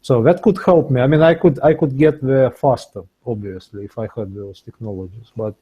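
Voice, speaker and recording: A man speaking English as an in a casual interview, with halting, repetitive phrasing. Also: medium pitch, breathy edge, lo-fi sound. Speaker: interview guest, English learner.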